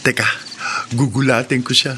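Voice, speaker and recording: An actor playing a playful, easily frightened young boyfriend in a Filipino radio horror drama. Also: male voice, medium pitch, flat delivery, normal speed, background noise, lightly acted. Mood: teasing, playful.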